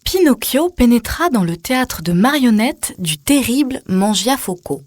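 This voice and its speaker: A woman's voice: fairly high pitch, sing-song, unhurried, darkish tone, dry recording. A woman reading a scripted children's story as a storyteller, putting questions to the young listener. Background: music.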